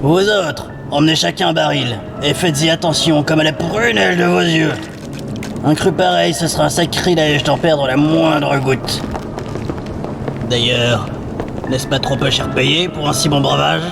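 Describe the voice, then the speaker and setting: An actor playing an aging, courteous and shrewd sea captain and crime baron in an audio drama, with gravelly voice and formal, measured phrasing. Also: male voice, a little high, background noise. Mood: pleased, commanding. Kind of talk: French audio fiction acting.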